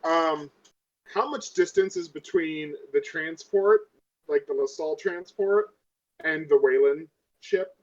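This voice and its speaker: Highish guy voice, lo-fi mic, tabletop player talking through plans, kinda insistent. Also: animated, slow pace, a little mellow. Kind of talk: casual game-table chat.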